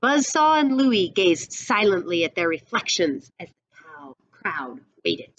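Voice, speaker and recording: Animated, slow-paced woman reading aloud. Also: mid-range voice, low-quality recording. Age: middle-aged. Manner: expressive, deliberate, dramatic pauses. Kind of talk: storybook read-aloud with explanatory asides.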